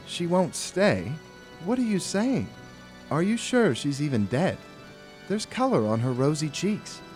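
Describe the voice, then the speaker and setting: An actor playing a frightened but rational gentleman in a gothic audio drama, earnest and dramatic. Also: a little high, sing-song, measured pace. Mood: alarmed. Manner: earnest, anxious, dramatic storytelling. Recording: loud music and sound effects underneath.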